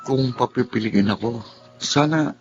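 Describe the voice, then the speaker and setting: A man's voice: medium pitch, measured pace, natural voice. A man acting a brooding, reserved student son in a Filipino radio drama. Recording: dull audio with faint background noise.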